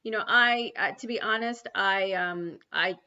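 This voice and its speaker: Bright-voiced woman on a lo-fi livestream. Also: medium pitch, a little slow. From New York City. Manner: earnest, many ums and restarts. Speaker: livestream host. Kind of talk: spiritual livestream talk and guided prayer. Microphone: computer mic on a livestream. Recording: dull lo-fi livestream audio.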